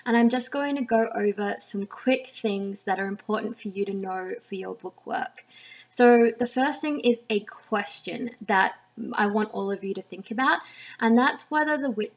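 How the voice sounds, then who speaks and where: A woman's voice: mid-range voice, normal speed. A woman giving an explanatory lesson voice-over to students as a literature teacher. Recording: slightly dull, lo-fi recording.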